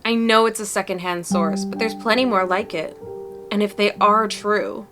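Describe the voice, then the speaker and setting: A woman's voice: medium pitch, fairly even intonation, moderate pace, over music, lightly acted. A woman playing a chatty, upbeat young cryptid hunter who makes videos, with an earnest, folksy way of talking in fan-dubbed game dialogue. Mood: excited, convinced.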